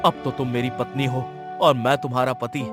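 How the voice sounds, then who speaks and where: A man's voice: mid-range voice, little pitch movement, brisk, music in the background, lightly acted. A man playing an earnest, determined, lovestruck king in a cartoon fairy tale. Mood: resolute. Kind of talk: Hindi cartoon story voice acting.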